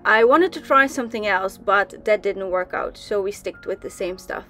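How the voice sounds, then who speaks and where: Young woman from the Netherlands, mid-pitched, chatty vlog voice-over with light music behind. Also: moderate pace, fairly bright tone. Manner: chatty, with many ums and restarts. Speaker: sailing vlogger. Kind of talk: casual voice-over narration.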